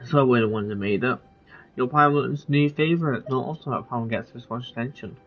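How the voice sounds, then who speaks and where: A man's voice: medium pitch, fairly quick, faint music behind. A man giving casual gameplay commentary, rambling and hesitant as he plays. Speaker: game streamer playing along. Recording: dull lo-fi mic.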